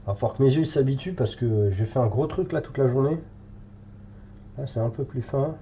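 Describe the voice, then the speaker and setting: A man's voice: medium pitch, moderate pace, warm tone, highs cut off, a little hiss or hum. A French man with a cold, thinking aloud with many fillers as he paints live in a hobby tutorial. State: has a cold, congested.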